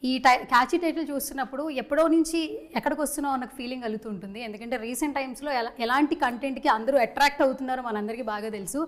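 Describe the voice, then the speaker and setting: A woman's voice: high-pitched, rapid-fire. A woman interviewer chatting playfully and teasingly with a film team, mixing English into her talk. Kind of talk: lively celebrity chit-chat interview.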